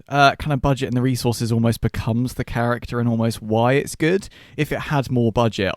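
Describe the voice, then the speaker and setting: A man's voice: medium pitch, darkish tone, no echo, clean studio sound. A man hosting a podcast, talking casually about film with his co-hosts. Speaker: podcast host.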